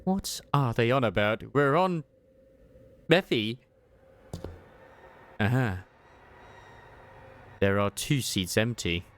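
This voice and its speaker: A man reading a game story as dramatized first-person narration, with expressive, bewildered exclamations. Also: mid-range voice, slow pace, long pauses, smooth. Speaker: fan dubber and game reader.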